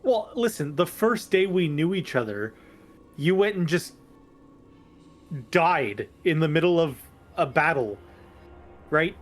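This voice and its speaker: A man's voice: fairly high pitch, sing-song, takes it slowly, pauses often, soft background music. A man playing a tabletop roleplaying game, talking casually and half in character in an amused, hesitant way. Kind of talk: casual improvised in-character chat.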